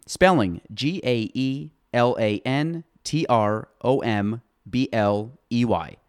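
A man's voice: mid-range voice, slow. A young man hosts an interview podcast in a loose, chatty way, full of "um" and "like" as he circles back on himself. His voice sits in the middle range, and the room around him rings with noticeable echo. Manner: rambling, filler-heavy, jokey. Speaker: podcast host. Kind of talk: casual interview chat. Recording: echoey room.

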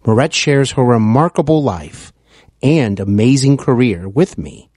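A man hosting an interview podcast, reading a scripted promo with slow, deliberate delivery. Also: mid-range voice, animated, a little mellow, slightly rough, dry recording, studio quality. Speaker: podcast host.

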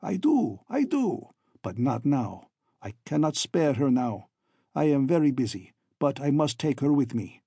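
A man's voice: medium pitch, sing-song, measured pace, dark tone, slightly rough, dry recording, full character voice. Man voicing a gloating, oily hunchback villain. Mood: ingratiating. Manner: broken foreign-sounding syntax, ironic, oily. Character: gloating hunchback villain, falsely friendly, ingratiating, lustful schemer.

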